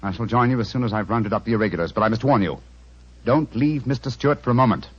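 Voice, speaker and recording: A keen, assured English gentleman detective played by a male actor in an old radio drama. His voice is husky and clipped, with theatrical authority. The recording is muffled and vintage. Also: mid-range voice, quick pace, natural voice. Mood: urgent, commanding. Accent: British. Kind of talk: 1940s radio drama acting.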